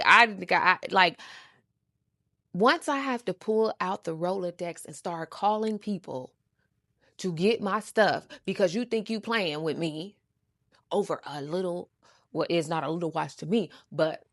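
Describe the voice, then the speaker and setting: A woman with an American accent telling a story casually, with a sassy, animated, emphatic and slangy delivery. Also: on the low side, no room sound, clean studio sound. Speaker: storytime show host. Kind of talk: casual storytelling, acting out quoted conversations.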